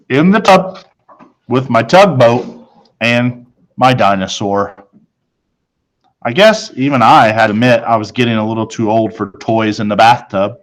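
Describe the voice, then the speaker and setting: Slow-paced man reading a children's story. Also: mid-range voice, fairly bright tone, low-quality recording. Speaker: storybook reader. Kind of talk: scripted first-person narration of a children's novel.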